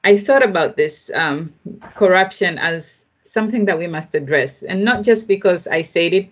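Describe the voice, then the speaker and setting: A woman speaks as a podcast host in a fairly low voice. She introduces and explains her subject deliberately, pausing and restarting as she thinks aloud. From Tanzania.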